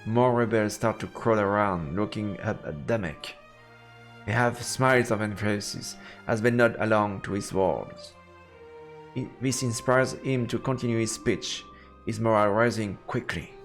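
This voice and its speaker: Low, gravelly man reading aloud. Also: a little slow. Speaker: streamer playing a visual novel. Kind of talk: reading game narration aloud on a livestream. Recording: game music behind the voice. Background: game music.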